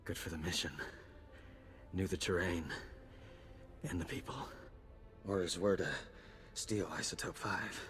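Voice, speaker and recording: A stoic, badly injured soldier in a game cutscene. The voice is low and weakened, pushing out short, clipped phrases through the pain. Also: male voice, fairly even intonation, measured pace, pauses often, a bit bright. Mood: urgent, fading. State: weak, strained, breathy from injury. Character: mortally wounded, stoic male soldier, loyal to his homeworld. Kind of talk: video game cutscene acting.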